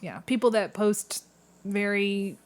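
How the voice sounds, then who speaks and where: A woman's voice: medium pitch, takes it slowly. Woman on a podcast just chatting w the group, jokey, kinda self-deprecating about everything. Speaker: podcast host. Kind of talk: casual group chat interview.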